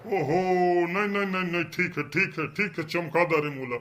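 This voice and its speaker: A man's voice: fairly high pitch, normal speed, lightly acted. A man voicing a casual, bumbling village quack doctor in a comedy cartoon, chatty and repeating his reassurances with a Chhattisgarhi accent. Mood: casual, reassuring. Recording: noisy track with clicks.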